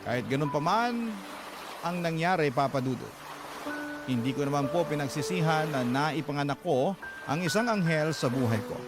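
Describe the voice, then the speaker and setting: A man's voice: a little high, expressive, moderate pace, natural voice. A man narrating, in the first person, the letter of a young mother betrayed by a married lover. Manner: confessional, earnest. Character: heartbroken young mother recounting her story. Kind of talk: radio drama letter narration. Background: music.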